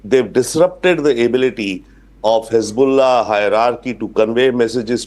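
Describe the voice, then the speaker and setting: A man's voice: mid-range voice, darkish tone. A man with an Indian accent giving explanatory live news analysis as an expert guest. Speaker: international affairs expert guest.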